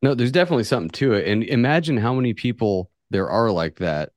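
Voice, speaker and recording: A man's voice: mid-range voice, animated, fast talker, no echo, studio quality. A man co-hosting a podcast, chatting casually in a blunt, slangy way with some swearing. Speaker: podcast host. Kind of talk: casual chat.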